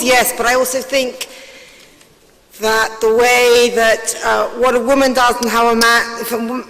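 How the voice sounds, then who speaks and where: A woman with a loud voice answering on a panel, emphatic and fond of rhetorical questions. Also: a little high. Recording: long reverb of a conference hall.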